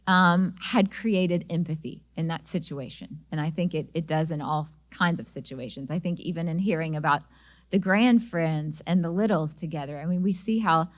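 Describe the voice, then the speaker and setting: A middle-aged woman, a singer-songwriter, giving an inspirational talk and telling personal stories, with small restarts as she talks. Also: lowish voice. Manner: warm storytelling with small restarts.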